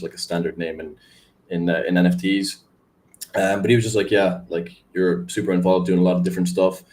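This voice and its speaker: Young male guest, deep monotone, loose filler-heavy chat. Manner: rambling, filler-heavy, laid-back. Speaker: guest, crypto community manager. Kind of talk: casual podcast chat.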